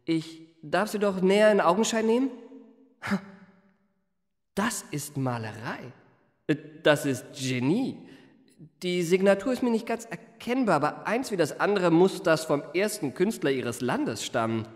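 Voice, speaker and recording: An actor playing a young marquis in a radio play, suave and gushing, with florid, elaborately courteous speech. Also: male voice, high voice, big pitch swings, a little slow, in a large hall, full character voice. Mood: gushing flattery. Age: young adult. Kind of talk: literary radio play, first-person narration and dialogue.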